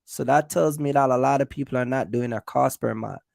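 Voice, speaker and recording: A man's voice: medium pitch, a little fast, gravelly, darkish tone, no echo, studio quality. A man hosting a casual livestream, giving business advice straight to viewers in an emphatic, repetitive way. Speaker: host of a trucking business livestream.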